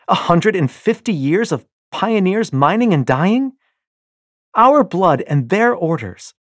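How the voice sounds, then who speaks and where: A man voicing a passionate young rebel wife, speaking urgently and pleadingly with a breathy tone. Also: sits high, very animated, slow, fairly bright tone, no room sound, studio quality, pitched above the performer's own voice, heavily acted. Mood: impassioned.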